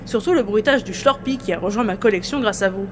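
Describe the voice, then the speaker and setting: A woman's voice: medium pitch, fast, slight background noise, lightly acted. A woman playing a chirpy, easily amused museum visitor in a comic audio drama, casual and teasing. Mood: reading aloud.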